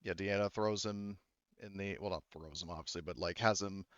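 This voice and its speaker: Halting, low-pitched man running a game. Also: fairly even intonation, a bit bright, no echo, dull audio. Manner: halting, rambling, conversational. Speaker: game master. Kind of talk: improvised narration of a tabletop roleplaying game.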